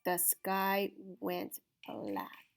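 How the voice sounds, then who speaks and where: A woman reading aloud to children in an expressive, dramatic, deliberate storytime style. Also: medium pitch, gravelly, smooth, soft background music. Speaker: storytime reader.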